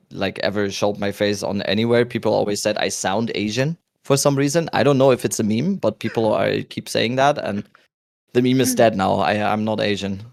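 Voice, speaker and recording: Male host, 30s, German accent, lowish gravelly voice, easygoing rambling delivery. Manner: relaxed, rambling with restarts. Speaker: podcast host. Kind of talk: casual chat.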